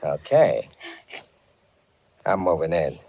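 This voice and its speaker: An actor playing a menacing blackmailer on the run in 1940s radio style, curt, slangy and tough-talking. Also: male voice, sits low, sing-song, slow pace, vocal fry, very noisy, heavily acted. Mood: bullying. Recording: dull, band-limited 1940s radio recording.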